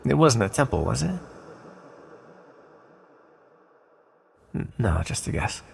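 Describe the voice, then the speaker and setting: Sealed ancient villain male actor, ASMR roleplay: very gravelly fry, mid pitch, soft pleading, hesitant, polite, secretive. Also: sing-song, slight background noise. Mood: uneasy, curious. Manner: hesitant, halting, gently persuasive.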